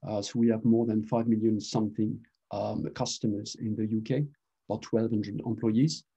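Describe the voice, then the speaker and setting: A middle-aged man from France with a French accent, presenting a webinar over a video call and talking haltingly with many fillers and restarts. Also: fairly low pitch, little pitch movement, creaky, dark tone, husky, dull audio. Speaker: presenter, mobile engineering manager.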